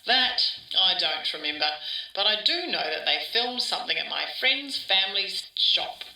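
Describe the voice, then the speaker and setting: A woman's voice: medium pitch, big pitch swings, moderate pace, vocal fry, pushed and bright, exaggerated voice. A woman playing an older woman who rambles wistfully through childhood memories in a conversational, interview-style podcast drama. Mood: resigned. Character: wistful older Australian woman reminiscing about her small-town childhood. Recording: roomy echo, distant from mic.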